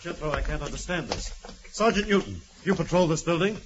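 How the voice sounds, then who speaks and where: A man playing a brisk, agitated prison warden giving orders in a 1940s radio drama. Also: fairly high pitch, big pitch swings, slight background noise. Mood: bewildered, tense. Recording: muffled old radio recording.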